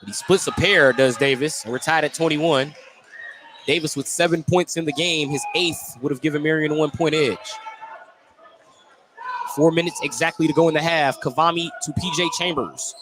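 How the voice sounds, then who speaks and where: A male radio announcer with a fairly high voice calls a basketball game live. He describes the action in short, clipped phrases and gets excited on big plays. The noisy ambience of an indoor gym sits clearly behind him. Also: normal speed. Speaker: play-by-play radio sports announcer. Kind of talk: live basketball play-by-play commentary.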